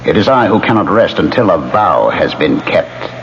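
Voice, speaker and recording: A man's voice: low-pitched, sing-song, normal speed. An actor playing a bitter, tormented male ghost in a 1970s radio drama, speaking with theatrical, menacing deliberation. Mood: vengeful anguish. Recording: muffled, faintly hissy old radio recording.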